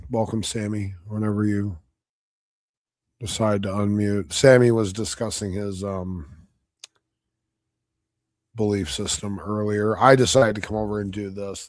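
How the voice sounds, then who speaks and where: A fairly low-voiced man with a slight hoarseness, talking in a blunt, combative, cussing way. His delivery is casual and off the cuff, like a streamer commenting live. The sound is close and dry, with no room around it. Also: dry recording. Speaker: debate reviewer streaming live.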